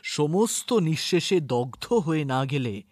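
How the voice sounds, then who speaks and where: A man narrating a literary story in a measured, expressive, dramatic way. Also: fairly high pitch, dry recording. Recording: music bed under narration. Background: background music.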